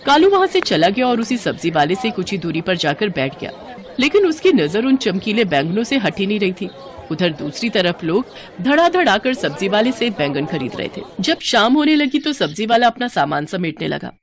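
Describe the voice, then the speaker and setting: A woman's voice: mid-range voice, big pitch swings, moderate pace, a faint crowd. A woman narrating a scripted children's moral story as storyteller.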